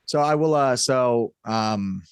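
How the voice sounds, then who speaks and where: A man's voice: mid-range voice, slow pace, clean tone, no room sound. A man speaking casually and explaining things as an interview guest who creates audio drama.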